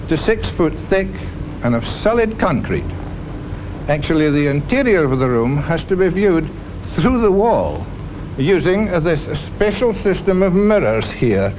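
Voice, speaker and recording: A man speaking as a hospital doctor and guide, explaining equipment in a conversational, instructive way for television. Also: a little high. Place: treatment machine control hall. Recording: dull, noisy 1950s television recording.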